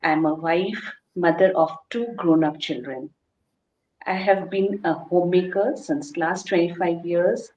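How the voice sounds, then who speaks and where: A middle-aged woman from Nepal, heard in an echoey room. Her voice is slightly low. She speaks slowly and carefully, greeting everyone before she introduces herself. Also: a little mellow, a little hiss or hum. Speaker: panelist, former homemaker.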